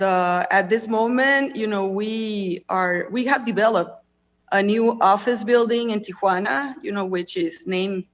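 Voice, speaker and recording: A woman's voice: mid-range voice, lo-fi sound. A woman from Mexico with a Mexican accent, speaking English as a second language on a panel and filling her talk with "you know.". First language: Spanish. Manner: casual, peppered with "you know". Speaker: real estate leasing manager. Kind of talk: panel discussion.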